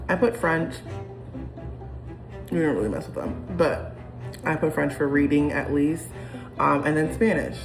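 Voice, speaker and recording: A woman's voice: sits low, poor audio. A young woman vlogging in a casual, rambling, reflective way, with lots of ums and restarts as she talks to camera. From United States. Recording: music playing behind.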